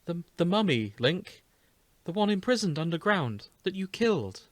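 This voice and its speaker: A man's voice: high voice, big pitch swings, moderate pace, no room sound, voice pushed up. A man voicing an exasperated young princess in a comic fan story reading.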